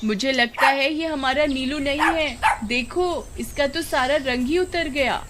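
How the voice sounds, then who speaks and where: A woman's voice: sits high, unhurried, fairly bright tone, a little hiss or hum, pitched above the performer's own voice. A woman voicing a doting, melodramatic village wife in a moral-story cartoon, cooing and exclaiming. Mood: alarmed, wailing. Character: doting, dramatic village wife who loves her dog.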